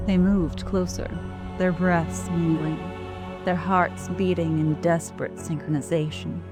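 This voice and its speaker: A woman narrating an audio drama in a slow, deliberate, grave way. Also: on the low side. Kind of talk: scripted narration.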